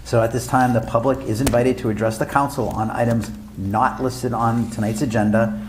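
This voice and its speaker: A man's voice: mid-range voice, fairly even intonation, moderate pace, background noise. A man chairing a town council meeting, speaking in an earnest, informal way and often repeating himself. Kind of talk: public meeting remarks.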